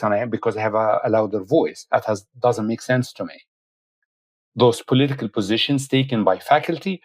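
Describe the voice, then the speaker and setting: A man, a professor whose first language is Arabic, firmly explaining his views as a guest in an interview. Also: mid-range voice. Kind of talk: interview answer.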